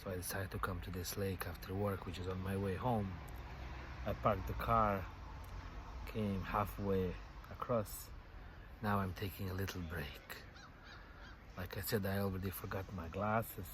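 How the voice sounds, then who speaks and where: Musing low-voiced man. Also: flat delivery, a little slow. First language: Bosnian. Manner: rambling and musing, with many "you know" fillers and soft laughs. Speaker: vlogger. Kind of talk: casual reflective monologue. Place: outdoors on a bench by a lake. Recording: outdoor noise with passing traffic.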